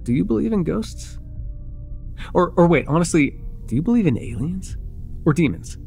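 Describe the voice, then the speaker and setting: Male actor as young vampire, awkward, eager, rambling, lively intonation, creaky edges, intimate ASMR roleplay. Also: fairly high pitch, normal speed, faint music behind. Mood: chatty, playful. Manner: rambling, nervous, stumbling over words. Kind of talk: intimate ASMR roleplay, one-sided conversation.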